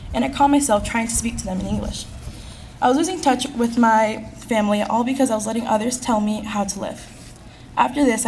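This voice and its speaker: Fast-speaking teenage girl giving a speech. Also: fairly high pitch, darkish tone, a little hiss or hum, rough recording. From California. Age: teens. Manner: earnest, reading from a script at a quick clip. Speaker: graduating high school senior giving a speech. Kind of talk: prepared speech. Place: school event.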